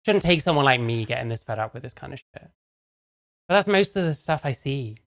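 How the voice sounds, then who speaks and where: A man's voice: mid-range voice, animated, brisk, creaky, fairly bright tone. A man doing casual live stream commentary, talking in a rambling, sarcastic, sweary way. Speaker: streamer reacting to a video.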